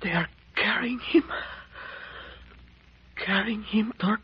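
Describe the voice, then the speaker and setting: An actor in an old radio drama playing a mortally wounded, loyal messenger, weak and gasping in broken phrases. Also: male voice, high voice, heavily acted. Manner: halting, breathy, broken phrases. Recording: muffled old radio recording.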